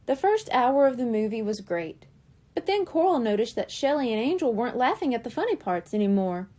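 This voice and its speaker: A woman reading a children's storybook aloud with expressive, lively storytelling. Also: fairly high pitch, a little fast. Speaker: children's book reader.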